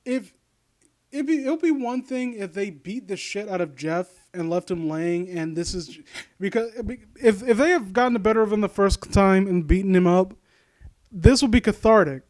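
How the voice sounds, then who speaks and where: A man's voice: high-pitched, normal speed, no echo. A man reading and commenting in a casual, sardonic, informal way, often stumbling over his words. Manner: sardonic, mock-supportive asides, informal, stumbling over read lines. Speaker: host of a critique series reading a story aloud and commenting. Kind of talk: casual reaction commentary mixed with story reading.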